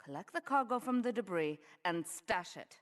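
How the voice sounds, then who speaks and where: A woman's voice: mid-range voice, expressive, moderate pace, lightly acted. An actress playing a cool, ruthless female crime boss in a video game, poised and matter-of-fact about violence. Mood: casually cruel. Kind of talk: scripted video game cutscene acting.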